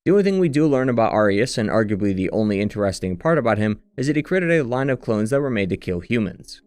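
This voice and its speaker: A man's voice: fairly low pitch, very animated, quick pace, a little mellow. A man reading scripted, analytical commentary as the narrator and critic of a video game retrospective.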